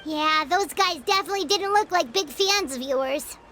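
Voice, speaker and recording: A woman voicing a small, childlike, excitable and whiny fairy companion in a video game, with a squeaky voice. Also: normal speed, slight background noise. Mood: wry. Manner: refers to self in third person, exclaiming. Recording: clean studio voice over game music.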